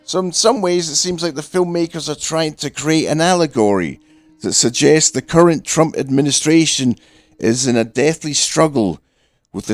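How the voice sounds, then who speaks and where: A man delivering a scripted satirical film review in a dry, deadpan way. He has a cold, so his voice sounds nasal and throaty. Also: a little high, no echo.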